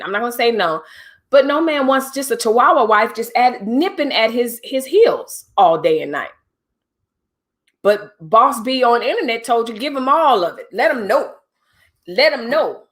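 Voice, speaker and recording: A woman's voice: fairly high pitch, animated, normal speed. Chatty middle-aged woman livestream host. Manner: chatty, direct, preachy asides. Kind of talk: casual livestream talk to viewers.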